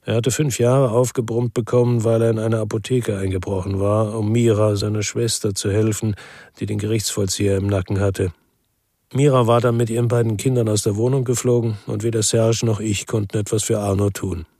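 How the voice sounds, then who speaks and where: An actor playing a weary, bitter former policeman who narrates a noir crime radio drama in a measured, laconic way. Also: fairly low pitch, flat delivery, mellow, dry recording, clean studio sound. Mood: somber, reflective.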